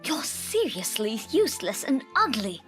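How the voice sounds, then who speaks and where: A woman voicing a harsh, scornful older mother-in-law who scolds in a shrill, contemptuous way. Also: sing-song, unhurried, a bit bright, faint music behind. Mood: sneering.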